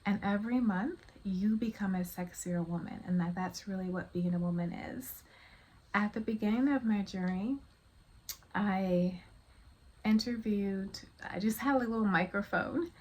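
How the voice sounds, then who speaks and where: A woman vlogger confiding her personal story to viewers in a casual, halting, rambling monologue. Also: mid-range voice, a little mellow. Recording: clear background noise.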